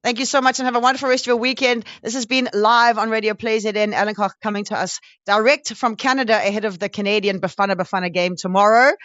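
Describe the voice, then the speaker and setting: A woman's voice: mid-range voice, fairly even intonation, quick pace, few pauses, fairly bright tone, dry recording, muffled recording. A South African woman hosting a live radio interview in a chatty, effusive and informal way.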